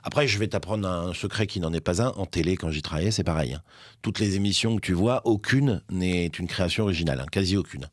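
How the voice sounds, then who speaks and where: A man hosting a podcast, asking relaxed conversational questions. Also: sits low, fast talker, no room sound, studio quality. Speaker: podcast host. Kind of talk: interview questions.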